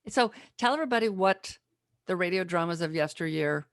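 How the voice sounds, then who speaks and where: A woman's voice: on the low side, lively intonation, a little fast, no room sound, clean studio sound. A woman hosting a podcast in a casual, chatty way. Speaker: podcast host.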